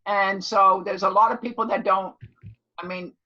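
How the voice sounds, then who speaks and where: An older woman, about seventy, teaches in a bright, forceful voice. She talks casually and emphatically, repeating points to be sure she is followed. The audio is dull and lo-fi. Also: mid-range voice, steady tone, normal speed. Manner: emphatic, repeats herself and checks listeners understand; loud, clear talker. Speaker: instructor. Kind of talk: casual motivational class talk.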